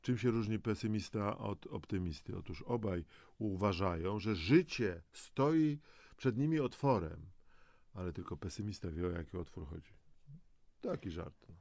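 A man presenting educational health material in a measured, explanatory way, mixing scripted narration with conversational asides to the listener. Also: lowish voice, animated, creaky, darkish tone, no echo. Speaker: presenter of a health audio programme.

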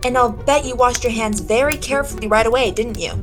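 A sharp, confident lady detective acted by a woman in a 1940s-style radio whodunit, low for a woman's voice or near the middle. She presses with pointed, theatrical questions while music plays clearly behind her. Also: fast, natural voice. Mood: probing, insistent. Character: sharp, confident female detective interrogating suspects. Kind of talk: old-time 1940s radio detective drama acting.

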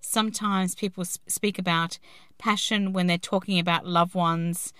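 A woman's voice: lowish voice, fairly even intonation, normal speed, a bit bright, smooth, no room sound, studio quality. A woman hosting a podcast, giving a thoughtful, musing introduction. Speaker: podcast host.

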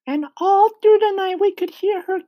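Tiny squeaky, fretful lady spider. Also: warm tone. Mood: fretful, distressed. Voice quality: squeaky, very high falsetto. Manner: dramatic, drawn-out lamenting. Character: tearful, fretful female spider, squeaky and dramatic. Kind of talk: children's storybook character voice.

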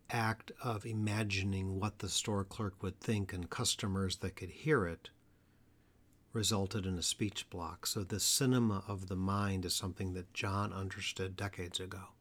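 A man with a lowish voice talks alone into the mic for his podcast about stuttering. He is earnest and measured, and he slows right down to lean on the ideas he wants to stress. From Atlanta area, US. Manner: earnest, measured delivery with slow emphatic phrases. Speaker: podcast host, stuttering therapist. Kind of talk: solo educational podcast talk.

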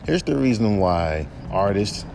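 A young man with a droll baritone voice, ranting bluntly and profanely on his solo podcast. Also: sing-song, unhurried, creaky, noisy background. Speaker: podcast host and rapper.

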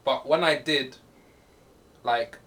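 A young man hosting a casual chat podcast, opinionated and quick to laugh. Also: mid-range voice. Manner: laughs often, animated and opinionated. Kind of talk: casual chat among friends. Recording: distant mic, faint room noise.

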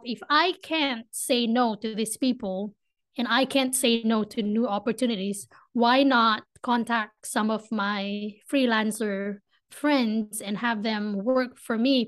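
A young woman from the Philippines with a Filipino accent, a podcast guest talking casually and eagerly, speeding up when she gets excited. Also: on the high side. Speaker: podcast guest, business founder. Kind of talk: casual interview talk.

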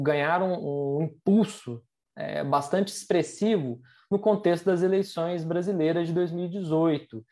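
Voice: mid-range voice, dark tone. An academic researcher with a Brazilian accent presents findings in an explanatory, discursive way, often adding short check-in tags. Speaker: academic researcher, guest speaker.

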